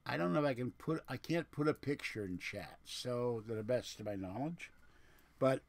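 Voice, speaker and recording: A man with a lisp talks in a mid-pitched voice, close and dry with no room sound. He rambles casually to livestream viewers, drifting between points in a grumbling way. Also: animated, normal speed, creaky, no room sound. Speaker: livestream host.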